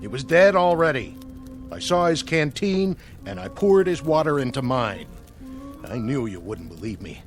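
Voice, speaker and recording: An actor playing a desperate, thirst-crazed soldier who stammers out excuses, in a radio drama. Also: male voice, medium pitch, big pitch swings, normal speed, soft background music, natural voice. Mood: pleading. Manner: pleading, stammering, turning cold and menacing.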